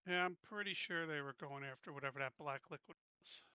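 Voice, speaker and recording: A man's voice: on the high side, a little fast, clean tone, dry recording, dull audio. A man chatting casually as a player in a tabletop game.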